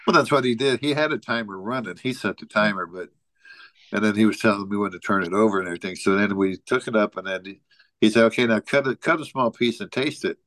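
A man with a fairly low voice chats casually as a podcast co-host. He rambles through an anecdote, leaning on "you know" and often chuckling. The sound is very dry, with no room echo. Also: fast talker, a bit bright. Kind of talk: casual chat, telling an anecdote.

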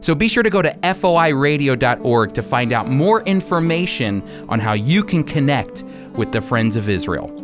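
A man's voice: mid-range voice, big pitch swings, normal speed, music in the background. A man hosting a radio ministry program, speaking directly and encouragingly to listeners as he gives announcements.

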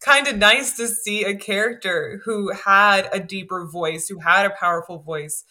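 A woman talking casually on a podcast, with a lower voice that still comes across bright and forceful. She is animated and chatty, and she leans on "like" as a filler. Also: normal speed.

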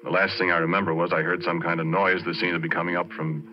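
A man playing a folksy working-class oil driller, telling an eerie tale in halting, ungrammatical speech as 1940s radio drama. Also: low-pitched, little pitch movement, background noise, close to an everyday voice. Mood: weary, brooding. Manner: colloquial, ungrammatical, halting, confiding. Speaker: narrator and lead character of a radio drama. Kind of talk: 1940s radio drama first-person storytelling. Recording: dull 1940s radio recording with music.

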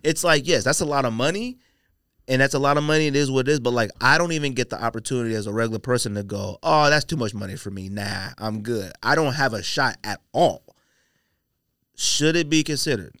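A man's voice: medium pitch, brisk, fairly bright tone, no room sound. An American man hosting a casual studio podcast, telling stories and giving opinions in slangy, conversational language. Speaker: podcast host. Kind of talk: casual panel chat about sneakers.

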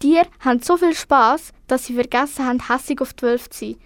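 A woman's voice: sits high, a little fast, no echo. A teenage girl with a Swiss accent, narrating a scripted children's story. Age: teens. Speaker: storyteller in a school radio play.

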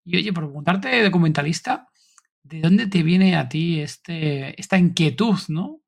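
A man's voice: fairly high pitch, animated, measured pace, gravelly. A man with an accent from Spain hosting a podcast in an informal, enthusiastic, chatty way. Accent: Spanish from Spain. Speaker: podcast host. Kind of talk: casual podcast announcements and chat.

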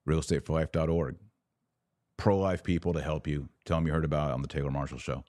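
An American man hosting a podcast, giving religious commentary off the cuff in an emphatic, preachy way with deliberate pauses and repetition. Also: rumbling low, fairly even intonation, mellow, dry recording, studio quality. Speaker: podcast host.

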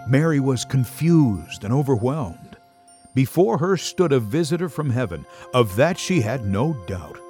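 A man's voice: mid-range voice, sing-song, unhurried, darkish tone, soft background music. A man narrating a scripted Bible story in a dramatic storytelling style.

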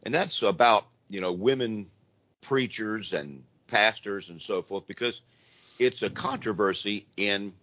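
A hoarse man with laryngitis hosts a live call-in radio show, talking in a casual, rambling way with frequent restarts. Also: lowish voice, measured pace, pushed and bright, dry recording. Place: church. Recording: dull lo-fi radio audio with faint noise.

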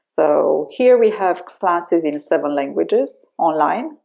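Soft-toned Romanian woman, hesitant speech. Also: sits low, animated, slow pace, creaky, muffled recording. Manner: hesitant, many fillers. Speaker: yoga teacher. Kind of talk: remote interview.

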